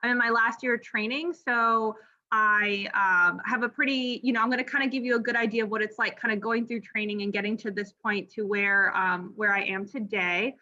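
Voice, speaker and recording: Bright, friendly woman physician in her thirties, relaxed talk with ums; slightly muffled recording. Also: medium pitch, moderate pace. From Miami, Florida, United States. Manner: friendly, frequent ums and restarts. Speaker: pediatric gastroenterology trainee presenting. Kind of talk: informal presentation over slides.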